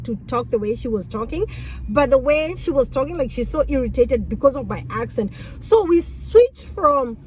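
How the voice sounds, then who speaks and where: A woman with a Kenyan accent, whose first language is Kikuyu, telling stories about being a rideshare driver in an animated, exclamatory way. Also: sits high, normal speed, slight background noise, poor audio. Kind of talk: casual storytelling vlog.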